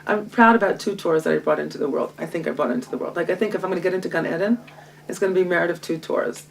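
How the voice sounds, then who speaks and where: A woman's voice: lowish voice, very fast, vocal fry, a little hiss or hum. Woman teaching, mic far off. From Israel. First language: Hebrew. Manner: lively, self-mocking, laughs often. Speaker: guest teacher. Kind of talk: Torah study lesson. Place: home library. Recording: distant mic.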